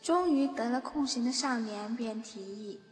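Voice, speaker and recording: Woman narrating a fantasy audio drama, highish voice, some music under her. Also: normal speed. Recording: long reverb, music underneath. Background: background music.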